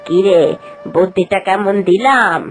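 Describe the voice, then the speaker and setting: A woman voicing an elderly village aunt who is kind and wise and gives advice in a slow, gentle way. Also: medium pitch, soft background music, natural voice. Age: older. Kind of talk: animated cartoon voice acting.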